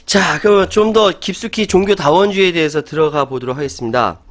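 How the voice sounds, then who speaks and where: A middle-aged man hosts a talk show, arguing his opinions in an animated way and laughing often. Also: fairly high pitch, a little fast. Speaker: host.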